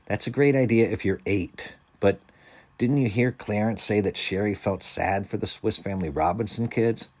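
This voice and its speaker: A man's voice: fairly low pitch, moderate pace, a little mellow, voice pushed up, close to an everyday voice. A man voicing a perceptive, patient young storybook friend who explains things in plain, blunt terms.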